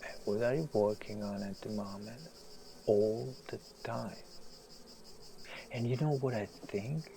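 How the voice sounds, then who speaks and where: Guy acting tortured famous writer in an audio drama, croaky voice, full of self doubt, hushed then emphatic, hissy outdoor bird and insect background. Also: fairly low pitch, a little slow, rough recording. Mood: brooding. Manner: brooding, intense, swinging between hushed and emphatic. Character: anguished, self-doubting famous male writer, restless and self-deprecating. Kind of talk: naturalistic audio drama monologue. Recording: clear background hiss, outdoor nature ambience.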